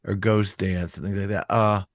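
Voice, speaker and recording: An older man talks in a low voice, rambling through a story with false starts and loose asides. The sound is dry and close, with no room around it. He keeps an easy, conversational flow. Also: little pitch movement, moderate pace, no echo. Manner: rambling, many restarts and asides. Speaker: musician guest. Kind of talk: casual interview chat.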